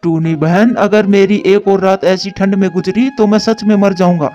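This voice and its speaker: Cartoon bird, male performer: fretful lamenter, high pitch, dull lo-fi sound. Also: fairly even intonation, moderate pace, dark tone, close to an everyday voice. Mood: suffering, anxious. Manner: dramatic, lamenting. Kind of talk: Hindi children's cartoon dubbing. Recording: muffled lo-fi dub with music.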